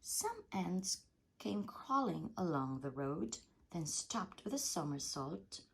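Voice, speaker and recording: A woman reading a rhyming children's storybook aloud as an expressive storytime narrator. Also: on the low side, takes it slowly, vocal fry, husky. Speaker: storytime reader.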